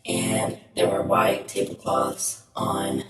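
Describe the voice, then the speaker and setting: A woman telling a casual personal story, her voice run through a pitch-shifting musical effect. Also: completely flat delivery, slow, echoey room, loud music behind the voice.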